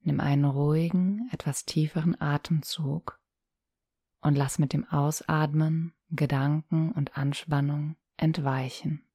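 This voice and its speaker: A woman leads a guided relaxation in a low, even voice that barely moves in pitch. Her delivery is soft, breathy and soothing, with calm instructions and long pauses. The sound is very dry and close. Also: no echo. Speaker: yoga nidra guide.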